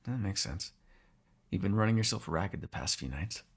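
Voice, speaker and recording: A man voicing a teasing, sarcastic vampire with a gravelly, husky voice in an ASMR roleplay. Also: low voice, animated, moderate pace, heavily acted. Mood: quiet, musing. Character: snarky, teasing male vampire captive, predatory yet fond. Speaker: voice actor in audio roleplay.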